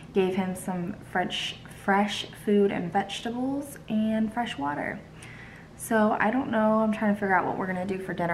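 A woman's voice: medium pitch, gravelly, low-quality recording. A young woman vlogs from her kitchen, talking close to her phone. She sounds worn out and rambles casually, padding her thoughts with ums and likes. A faint hiss sits under the home recording. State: tired. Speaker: vlogger. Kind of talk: casual vlog chat. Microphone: phone held close.

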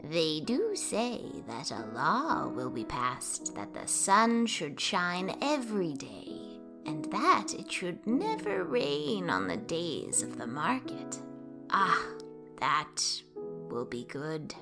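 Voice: mid-range voice, wildly swooping pitch, slow, full character voice. An actor playing a hopeful, gossiping old woman who sells apples at a market. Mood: hopeful, musing. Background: music.

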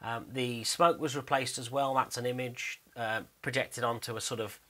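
A man with a bright, forward voice at an average pitch talks casually through 3D animation work. He is explanatory and unscripted, pausing to think aloud as he narrates what is on his screen. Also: fairly even intonation.

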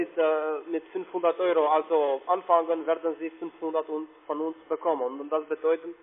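Halting foreign-accented man on a tinny phone. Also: fairly high pitch, slight background noise. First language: not German. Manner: hesitant, repetitive. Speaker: call center investment agent. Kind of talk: halting sales pitch by phone. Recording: tinny narrow phone line.

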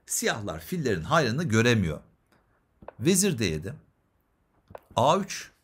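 Animated man explaining chess moves. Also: mid-range voice, unhurried, husky. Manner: explanatory, addresses viewers with rhetorical questions. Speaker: chess instructor, commentator. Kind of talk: chess game analysis commentary.

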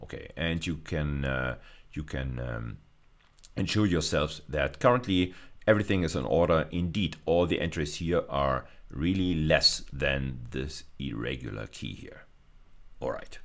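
A middle-aged man giving an explanatory lecture, speaking deliberately and repeating phrases for emphasis. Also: extremely low pitch, gravelly. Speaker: university lecturer.

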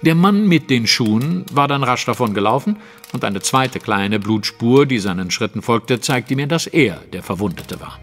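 An actor playing a confident, analytical detective in a German audio drama, setting out a case in articulate, precise, dramatic storytelling. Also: male voice, mid-range voice, lively intonation, moderate pace, a bit bright, soft background music. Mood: analytical, assured. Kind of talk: German audio drama acting, narrative monologue.

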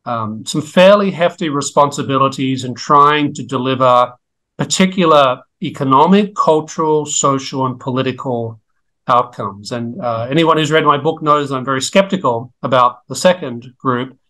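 Measured Australian man on a video call. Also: mid-range voice, bright, forceful tone, low-quality recording. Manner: measured, articulate, academic. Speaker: guest economist. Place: home study with bookshelves. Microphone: video call webcam.

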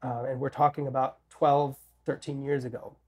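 A man in his thirties, slightly nasal from a head cold, speaking in a measured, deliberate way with many ums and restarts as a guest on a video call. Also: mid-range voice, little pitch movement, darkish tone, slightly rough. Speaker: political scientist guest. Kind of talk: interview conversation. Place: home office with bookshelves.

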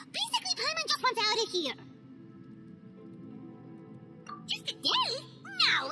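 A woman voicing a tiny, excitable female fairy companion in a game, squeaky and whiny, speaking of herself in the third person. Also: exaggerated voice. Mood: restless. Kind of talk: video game cutscene voice acting. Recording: game audio with loud music underneath.

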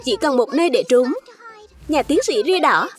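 Cartoon strawberry schoolkid: very high, childlike, vain, stubborn sore loser, music underneath. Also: female voice, expressive, moderate pace. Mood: determined, anxious.

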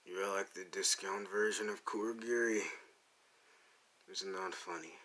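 A man playing a cool, menacing young anime villain in an ASMR roleplay, drawling and teasing in a deadpan, gravelly way. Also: fairly low pitch, a little slow, a little hiss or hum. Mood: smug, casual. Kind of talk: ASMR roleplay addressed to the listener.

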